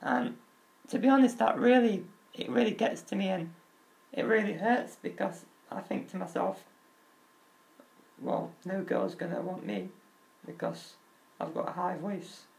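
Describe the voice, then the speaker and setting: Crackly, high-voiced young man. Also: creaky, a little mellow. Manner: open and earnest, halting restarts, nervous laughs. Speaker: vlogger. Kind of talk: candid personal talk.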